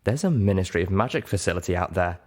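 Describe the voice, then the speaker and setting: Man reading as a low-voiced, matter-of-fact pony guide. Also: animated, quick pace. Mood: explaining. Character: female pony, knowledgeable, kindly guide.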